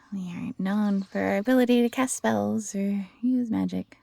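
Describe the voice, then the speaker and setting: A woman playing a gentle, eager fox-hybrid former servant in an intimate roleplay audio drama, soft-spoken and earnest with a breathy, slightly husky voice. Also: medium pitch, a little slow, no room sound. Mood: wistful, reflective.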